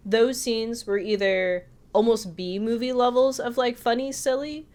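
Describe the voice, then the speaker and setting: A woman's voice: a little high, fairly even intonation, measured pace, darkish tone. A woman co-hosting a podcast, talking through a film review in a casual, chatty, hedging way. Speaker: podcast co-host.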